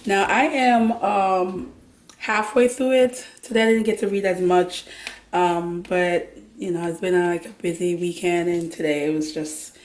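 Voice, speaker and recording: A woman with a hoarse, worn voice and a Jamaican accent, chatting casually as a vlog host and laughing now and then. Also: fairly low pitch, measured pace, reverberant. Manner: laughs often, relaxed chatty talk. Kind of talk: casual chat about books and cooking.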